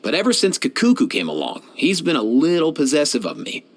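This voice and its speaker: An actor playing a kindly, laid-back young veterinarian in a video game, talking in casual slang. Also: male voice, a little high, wildly swooping pitch, a little fast, husky, full character voice. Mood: relaxed, friendly. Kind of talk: game voice lines, conversational.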